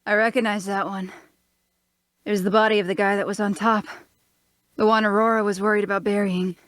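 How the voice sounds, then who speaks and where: A woman's voice: medium pitch, little pitch movement, fairly quick, a bit bright, dry recording, clean studio sound, close to an everyday voice. A woman as a blunt, hard-nosed mercenary. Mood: analytical. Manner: blunt, matter-of-fact. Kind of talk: fantasy audio drama acting.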